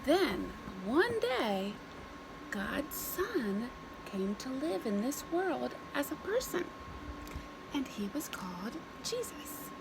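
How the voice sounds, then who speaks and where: A woman reading a story aloud to young children in an expressive, deliberate way, with simple phrases and dramatic pauses. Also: sits high, vocal fry, background noise.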